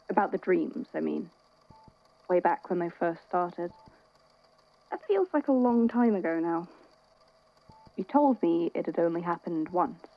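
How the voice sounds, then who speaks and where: A caring, tired friend acted by a woman speaks in a lowish voice with hesitant, wry, conversational delivery. It is naturalistic audio drama, recorded a little muffled. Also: vocal fry, exaggerated voice. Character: worried, weary, caring friend at a hospital bedside.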